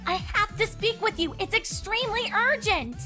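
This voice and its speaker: A woman's voice: very high-pitched, expressive, moderate pace, music in the background, voice pushed up. A woman voicing an earnest, studious young female pony with an urgent manner in a fan fiction audio reading.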